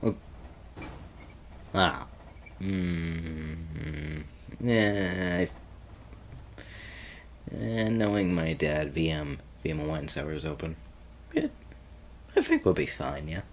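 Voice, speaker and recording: A man playing an easygoing, teasing young guy sweet on his friend, talking casually and haltingly in an audio roleplay. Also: sits low, very animated, slight background noise, heavily acted. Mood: relaxed, friendly. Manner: halting and stammering, chuckling, casual slangy speech.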